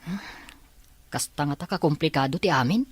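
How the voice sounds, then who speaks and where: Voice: mid-range voice, normal speed, slightly rough, dry recording, lightly acted. An actor playing a grown child who confides in family and friends in an Ilocano audio drama. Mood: surprised, hopeful.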